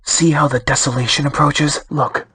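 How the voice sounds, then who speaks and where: An actor playing a dutiful, world-weary soldier in a fantasy video game. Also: male voice, on the high side, fairly even intonation, moderate pace, sharp tone, breathy edge, exaggerated voice. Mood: weary, resigned.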